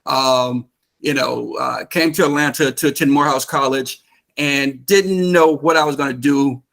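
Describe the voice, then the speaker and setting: American guy in his 50s being interviewed, friendly and upbeat, chatty storytelling, average pitch. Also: steady tone, normal speed, gravelly, a bit bright. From Georgia, United States. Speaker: interview guest, real estate investor. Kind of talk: casual conversational storytelling.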